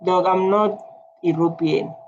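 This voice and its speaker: A man's voice: high-pitched, unhurried. Blunt Tswana-accented man reacting casually. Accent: South African, Tswana. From South Africa. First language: Setswana. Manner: animated, blunt, repetitive. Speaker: commentator reacting to clips. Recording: dull lo-fi sound with faint noise.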